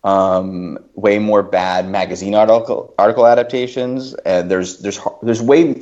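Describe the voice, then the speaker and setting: A man's voice: fairly low pitch, fairly even intonation, unhurried, a little mellow. A man talking as a podcast panelist, thoughtfully working through and qualifying his points in casual discussion. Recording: slightly dull, lo-fi podcast audio.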